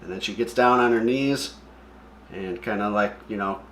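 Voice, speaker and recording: A man's voice: lowish voice, normal speed, fairly bright tone. A man hosting a comic read-along with casual, offhand and opinionated commentary as he describes what he sees. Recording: echoey room.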